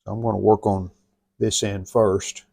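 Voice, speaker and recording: A man with an American accent and a noticeably creaky, gravelly voice talks through a repair job unscripted. He takes his time, speaking very slowly in a folksy, plain way. The sound is close and dry, with little room around it. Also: fairly low pitch, level tone, mellow, no echo. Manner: folksy, plainspoken, thinking aloud while working. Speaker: host of a radio restoration channel. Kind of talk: unscripted hands-on repair narration.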